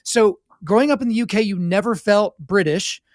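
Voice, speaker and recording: A man's voice: sits high, normal speed, no room sound, clean studio sound. A man hosting an interview podcast, eager and outspoken, asking long rambling questions. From United States. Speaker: podcast host. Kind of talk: conversational interview.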